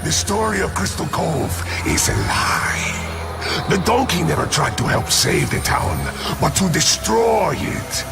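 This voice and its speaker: An actor playing a grave, urgent cartoon character who speaks in dire earnest with a gravelly voice. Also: male voice, fairly high pitch, very animated, measured pace, full character voice. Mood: grave warning. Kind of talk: dramatic animated series acting. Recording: loud music behind the voice.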